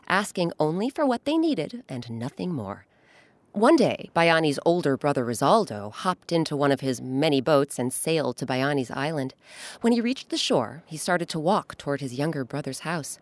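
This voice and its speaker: A woman hosting and narrating a scripted children's story in an expressive, dramatic storytelling manner. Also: deep voice, no room sound. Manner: expressive, dramatic pauses, addresses young listeners. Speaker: host and narrator. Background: music.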